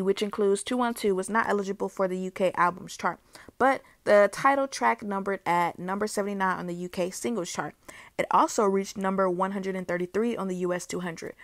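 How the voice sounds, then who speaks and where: A young woman hosting her own channel, reading a biography aloud in a steady way with small restarts. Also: mid-range voice, dry recording. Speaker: YouTube host. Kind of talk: read-aloud biography narration.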